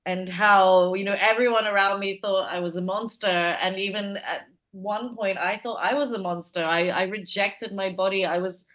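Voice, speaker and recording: Guest director, woman from Malaysia, animated, halting restarts, adult. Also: poor audio. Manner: animated, laughing, hesitant restarts, lively pitch jumps. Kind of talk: interview answers.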